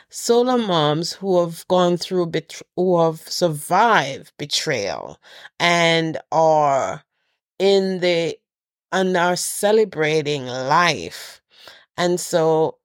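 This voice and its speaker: A woman's voice: on the low side, no room sound. Woman, middle-aged, podcast host, nasal from a cold, earnest, dry close sound. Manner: slow and earnest, with restarts. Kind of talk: casual holiday message to listeners.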